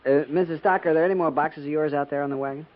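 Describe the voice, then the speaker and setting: An American actor playing a courteous, slightly formal, obliging young cavalry lieutenant in a 1950s radio drama. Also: male voice, on the high side, fairly even intonation, rapid-fire, lightly acted. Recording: muffled, noisy 1950s radio recording.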